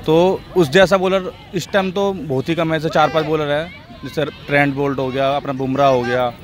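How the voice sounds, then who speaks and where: A man's voice: a little high, fairly even intonation, normal speed. A young man in his twenties, seated outdoors, giving plain reasoned opinions into a handheld mic. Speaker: interviewee. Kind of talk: casual opinion. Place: outdoor sports ground, seated.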